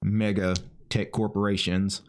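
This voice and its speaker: A man hosting a casual video chat, talking in a measured, explanatory way. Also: on the low side, little pitch movement, clean tone.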